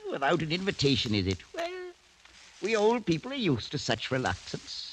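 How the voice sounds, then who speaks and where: A man's voice: a little high, huge pitch swings, normal speed. An actor playing a menacing, sly old French nobleman in a theatrical, mock-courteous radio style. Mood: gleeful, sly. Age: older. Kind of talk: 1940s-50s radio acting. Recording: noisy vintage radio drama recording.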